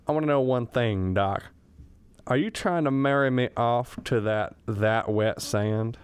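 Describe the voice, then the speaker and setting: A man's voice: medium pitch, measured pace, clear, resonant tone, dry recording, natural voice. A man playing a cranky, rueful old professor in a comedic radio drama, grumbling in a plain, folksy, self-deprecating way. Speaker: student radio drama actor.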